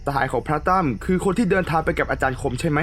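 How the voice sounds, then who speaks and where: A man's voice: fairly high pitch, rapid-fire, a bit bright, soft background music, natural voice. A man voicing a friendly, talkative monk who gives advice in a horror cartoon. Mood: chatty, amazed. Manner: advising, colloquial, chatty. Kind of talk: animated ghost story character acting.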